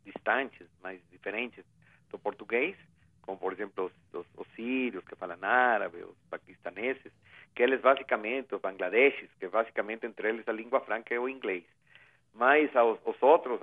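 A man's voice: fairly low pitch, vocal fry. A man giving a phone interview, speaking earnestly with many hesitations and restarts. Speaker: interviewee, refugee agency representative. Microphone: telephone. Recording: tinny phone line.

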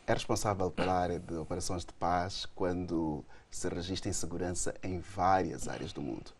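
Formal low-voiced male interviewer. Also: steady tone, creaky, slight background noise. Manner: formal, measured questioning.

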